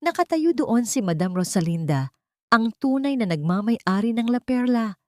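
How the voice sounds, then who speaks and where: A woman's voice: a little high, sing-song, moderate pace, no echo, studio quality. A woman reading a dramatic scripted story as its narrator.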